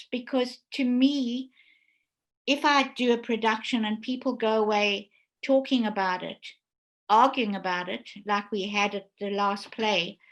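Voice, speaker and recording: A woman hosts a casual online panel with a mid-pitched voice. She speaks effusively and conversationally, like a theatre director addressing guests and audience. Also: fairly bright tone, low-quality recording. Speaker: host, theatre producer and director. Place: indoors, online video call.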